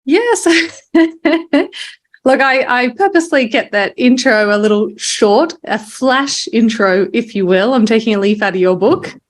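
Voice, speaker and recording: A woman's voice: fairly high pitch, animated, measured pace. A woman hosting a podcast, introducing the show and chatting with a guest in an interview. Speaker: podcast host.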